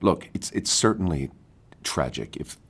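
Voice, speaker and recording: A condescending murder suspect in an audio drama, with a very low voice. He is sardonic and evasive, wrapping his denials in mock politeness and the odd stammer. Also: lively intonation, unhurried, gravelly, husky, exaggerated voice. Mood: defensive, condescending.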